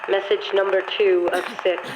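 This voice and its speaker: An automated playback machine voice in a video game, announcing numbered messages in a flat, formulaic way. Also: mid-range voice, normal speed, very noisy, close to an everyday voice. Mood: impersonal. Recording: muffled, radio-like, under loud music.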